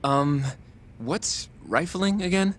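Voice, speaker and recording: A man's voice: high voice, expressive, takes it slowly, a bit bright, full character voice. An actor playing an eager, naive young male lawyer, earnest and hesitant, in an English video game dub. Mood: flustered, unsure. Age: young adult.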